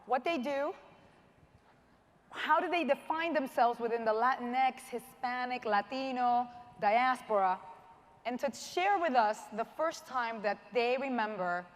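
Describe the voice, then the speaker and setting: A woman moderating a panel discussion with an energetic, lively manner. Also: a little high. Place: large hall stage. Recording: reverberant hall.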